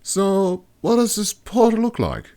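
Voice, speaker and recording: A man's voice: high voice, wildly swooping pitch, measured pace, dark tone, faint music behind, voice pushed up, heavily acted. A man voicing a curious young female mercenary with a casual, questioning manner in an audiobook.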